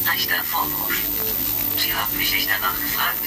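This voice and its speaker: An actor playing a polite, literal-minded combat robot in a retro sci-fi radio play, speaking formally and announcing each emotion. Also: male voice, medium pitch, wildly swooping pitch, moderate pace, vocal fry, heavily acted. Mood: prim. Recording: distant, crackly vintage radio-play record. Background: music.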